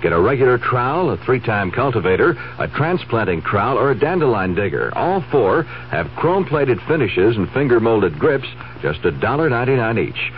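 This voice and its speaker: A man's voice: medium pitch, sing-song, moderate pace, few pauses. A man delivering a scripted radio commercial as a product spokesman. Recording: dull, faintly hissy vintage radio.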